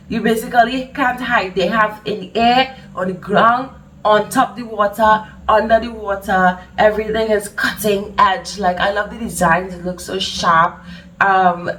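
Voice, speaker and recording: A woman's voice: mid-range voice, distant mic, a little hiss or hum, rough recording. Trinidadian woman hosting a reaction video. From Trinidad and Tobago. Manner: animated, exclaiming and repeating herself. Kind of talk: casual reaction commentary.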